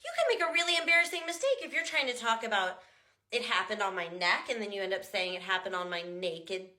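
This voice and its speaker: American lady teaching language stuff, chatty and jokey, ringing bright voice, roomy echo. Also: mid-range voice, animated, quick pace. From United States. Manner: chatty, joking, exaggerates pronunciations to demonstrate. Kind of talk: casual talking-head explainer.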